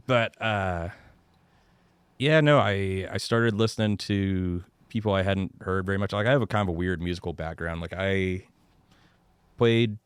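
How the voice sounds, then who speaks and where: A man in his thirties from the Dallas suburbs with a low voice, a singer-songwriter guest chatting easily and poking fun at himself. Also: unhurried, clean tone, no echo. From suburbs of Dallas, Texas. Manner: easygoing, self-deprecating, many fillers. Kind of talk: casual conversation.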